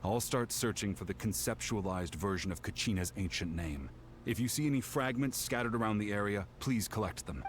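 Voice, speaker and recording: A man voicing a somber, earnest warrior in a video game, measured and weighed down by guilt. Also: fairly low pitch, lively intonation, slight background noise, close to an everyday voice. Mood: grave, instructing. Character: somber, guilt-ridden male warrior determined to save a lost comrade. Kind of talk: scripted video game voice acting.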